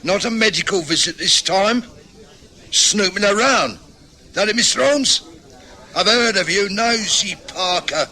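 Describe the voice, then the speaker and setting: An actor playing a surly, suspicious working-class husband, sneering and defensive in a radio drama. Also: male voice, high voice, lively intonation, a little slow, pushed and bright, exaggerated voice. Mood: sneering suspicion. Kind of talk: old-time radio drama acting.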